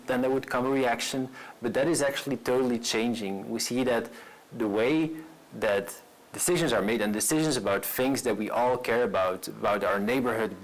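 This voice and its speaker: A man in his late twenties speaks English with a Belgian accent in a somewhat low voice. He talks as an entrepreneur being interviewed, earnest and a little halting, doubling back on words as he explains himself. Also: rough recording. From Brussels, Belgium. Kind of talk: personal profile interview to camera.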